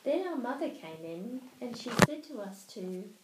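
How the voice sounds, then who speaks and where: Slow, expressive Australian woman reading a storybook. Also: a little high, reverberant, very noisy. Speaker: storybook reader, mother. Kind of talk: expressive children's storybook read-aloud.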